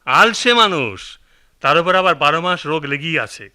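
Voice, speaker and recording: A man's voice: fairly high pitch, lively intonation, brisk, pushed and bright. A man acting a stern, old-fashioned, middle-aged village father in a radio drama, scolding and complaining with a Bangladeshi accent. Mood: resentful.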